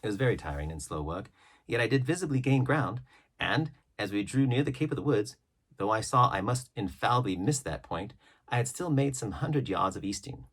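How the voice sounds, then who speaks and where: A man reading an adventure novel aloud as a storybook narrator, with measured, dramatic phrasing. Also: mid-range voice, expressive. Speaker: audiobook reader.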